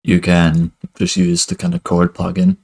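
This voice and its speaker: A young man giving a relaxed music production tutorial at his desk, talking loosely with lots of fillers. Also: low voice, a little slow, breathy edge. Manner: relaxed, many fillers like "kind of" and "basically". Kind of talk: casual screen-recorded tutorial.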